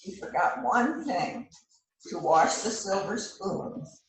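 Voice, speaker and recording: A woman playing a bossy, showy police detective in an amateur readers theater rehearsal, speaking in a deliberate, emphatic way. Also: mid-range voice, expressive. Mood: triumphant. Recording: roomy, distant mic with faint noise.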